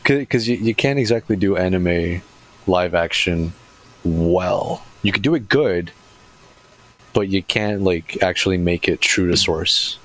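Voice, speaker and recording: A man's voice: low voice, unhurried, a bit bright, rough recording. A man co-hosting a podcast, chatting casually with blunt, joking, sweary remarks. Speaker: podcast co-host. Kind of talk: casual chat.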